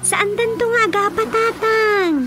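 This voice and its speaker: Very high-pitched excitable young girl. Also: a little slow, over loud music. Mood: earnest. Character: young daughter, excitable, tender-hearted pet lover. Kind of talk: radio comedy drama acting.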